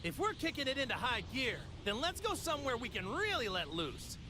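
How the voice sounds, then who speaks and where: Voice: medium pitch, sing-song, fairly quick, background noise, close to an everyday voice. An actor playing a cheerful, naive martial-arts hero in an anime video game, folksy, boyish and eager. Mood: eager, easygoing. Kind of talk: English anime game dub acting. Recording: music behind.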